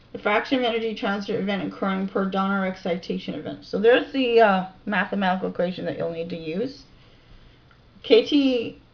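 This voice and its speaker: Female narrator, mid-pitched, halting informal reader of technical material, muffled lo-fi sound. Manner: halting over technical terms, self-correcting. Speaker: video narrator. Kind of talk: reading scientific text aloud with informal asides. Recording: dull lo-fi sound.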